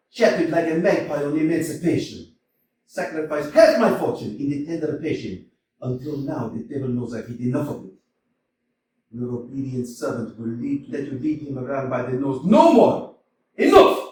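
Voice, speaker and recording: Guy reading a booming bossy landowner, swings high and low, echoey hall from far back. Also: a little hiss or hum, close to an everyday voice. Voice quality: booming, theatrical. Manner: shifts between shouting and mock-tender crooning. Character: blustering landowner, loud, scornful of women. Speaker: amateur actor in a staged reading. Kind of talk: staged play reading. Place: small community theater stage.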